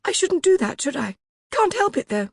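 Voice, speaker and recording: A reader voicing a fretful young maidservant who chatters anxiously, much higher than the narration. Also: female voice, big pitch swings, moderate pace, gravelly, no echo, clean studio sound, heavily acted.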